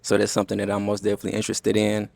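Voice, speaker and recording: A man's voice: lowish voice, completely flat delivery, rapid-fire, gravelly, a little mellow, no echo. A man in his twenties, a dating show contestant, talking earnestly with some hesitation. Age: 20s.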